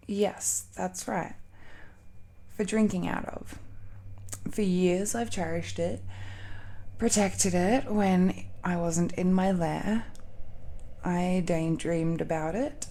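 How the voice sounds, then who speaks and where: A woman playing an affectionate, nervous dragon-blooded young woman in an intimate romantic audio roleplay, speaking softly and haltingly. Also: fairly low pitch. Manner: hesitant, halting confession with nervous laughs and sighs.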